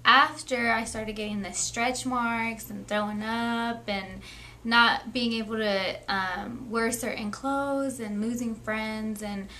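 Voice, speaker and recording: A woman's voice: fairly high pitch, steady tone, slow pace, pushed and bright, a little hiss or hum. A 21-year-old woman speaking earnestly and plainly as a young mother sharing her story. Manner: earnest, plain-spoken, reflective. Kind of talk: candid personal testimony.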